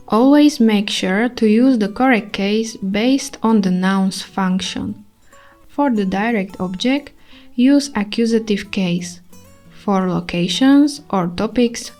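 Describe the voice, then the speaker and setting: A woman's voice: medium pitch, a little mellow. A woman with a Slovak accent teaching a scripted language lesson, reading carefully and repeating example sentences.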